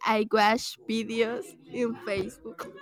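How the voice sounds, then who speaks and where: Slow-spoken teenage girl. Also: on the high side, flat delivery. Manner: simple, careful English in a class interview.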